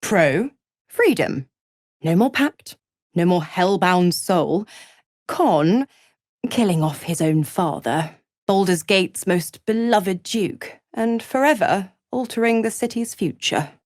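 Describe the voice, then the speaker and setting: A sly, gloating she-devil for a video game, who toys with her victims. She draws words out at a leisurely pace and swoops theatrically through her pitch, dripping mocking condescension. The recording is close and dry. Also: animated, slow, darkish tone, dry recording. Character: gloating, seductive female devil, mocking pact-holder. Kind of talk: video game character acting.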